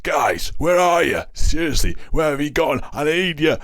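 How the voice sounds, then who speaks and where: Man acting a desperate, high-strained injured policeman. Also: fairly even intonation, brisk, a bit bright, no room sound, clean studio sound, lightly acted. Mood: frightened pleading. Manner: urgent, pleading and swearing. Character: injured male police officer, foul-mouthed, desperate, frightened.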